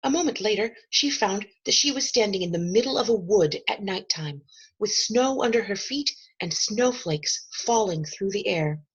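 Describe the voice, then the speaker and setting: A woman reading a children's novel aloud as expressive storybook narration, with a husky voice and measured, dramatic emphasis. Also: medium pitch, muffled recording.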